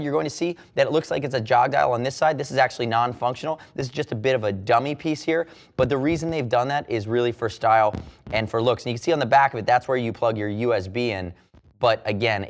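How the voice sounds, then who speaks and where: Fast-talking man presenting a product review. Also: medium pitch. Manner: explanatory, conversational, repeats key points for emphasis. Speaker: product reviewer and presenter for a motorcycle gear retailer. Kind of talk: unscripted product review with sales pitch.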